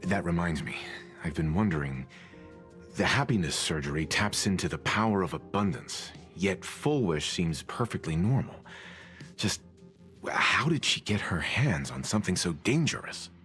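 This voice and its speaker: An actor voicing a calm, analytical male ally in a video game, in a measured, thoughtful manner. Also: deep voice, faint music behind.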